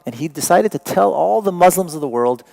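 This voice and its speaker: A man's voice: sits high, animated, brisk, vocal fry, a little mellow, low-quality recording. A man, a native Persian speaker, giving a talk to an audience, wry and digressive with joking asides. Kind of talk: lecture to an audience.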